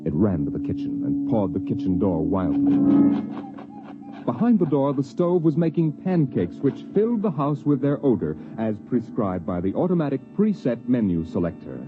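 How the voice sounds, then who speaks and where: A man narrating a 1950s radio drama in a grave, theatrical way with deliberate phrasing. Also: medium pitch, sing-song. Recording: muffled vintage radio, music behind.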